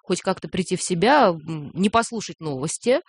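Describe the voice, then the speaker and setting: A woman's voice: lowish voice, expressive, normal speed, husky. A woman from Novosibirsk, a radio news presenter, chatting as a guest in a radio studio, laughing often and hesitating with fillers. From Novosibirsk, Russia. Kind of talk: casual radio chat.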